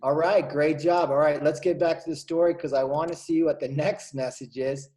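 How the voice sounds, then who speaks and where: Male kindergarten teacher, mellow voice, reading aloud. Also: on the high side, moderate pace. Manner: animated, questioning, encouraging. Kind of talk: interactive storybook read-aloud to young children.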